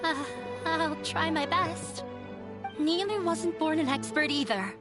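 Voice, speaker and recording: A woman's voice: high-pitched, slow pace. A woman voicing a shy, earnest young aspiring dancer in a video game, speaking hesitantly. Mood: shy, determined. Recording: loud game music behind.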